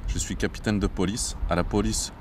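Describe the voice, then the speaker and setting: A man in his thirties from Morocco, a border police captain, speaking in a measured interview with frequent hesitations. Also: on the low side, fairly even intonation, slight background noise. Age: 30s. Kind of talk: interview monologue. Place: outdoors near traffic.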